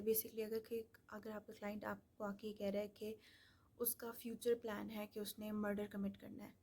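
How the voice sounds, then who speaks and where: Woman lecturing in a flat, mellow voice. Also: mid-range voice, creaky. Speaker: law lecturer. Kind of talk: explanatory lecture mixing Hindi-Urdu with English legal terms.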